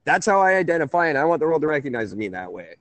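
A man's voice: a little high, big pitch swings, racing, fairly bright tone, no echo. A man in his thirties hosting a casual call-in radio talk show, rambling and correcting himself. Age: 30s. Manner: rambling, chuckling, self-correcting.

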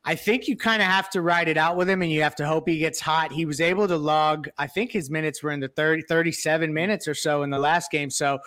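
A man's voice: a little high, steady tone, quick pace, hardly any pauses, pushed and bright, dry recording, studio quality. A man giving casual sports analysis as a panelist on a podcast.

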